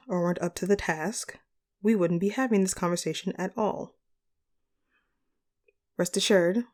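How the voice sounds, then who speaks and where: Casting: a woman as courteous female supervillain boss for audio roleplay; soft dark tone, composed, articulate, pragmatic. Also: on the low side, close to an everyday voice. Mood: firm, frank. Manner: formal, measured, articulate phrasing. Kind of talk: scripted audio roleplay monologue addressed to the listener.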